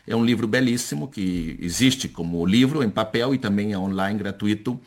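Lower-voiced man, hesitant and thoughtful. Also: normal speed. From born in Chile to Brazilian parents. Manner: thoughtful, hesitant, with many restarts and repeated words. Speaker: professor and interview guest. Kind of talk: conversational interview.

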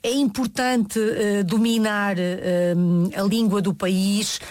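A woman interviewer asks her questions carefully and formally, pausing between phrases. Her pitch is ordinary and the recording is dry and close. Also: fairly even intonation, darkish tone, no echo.

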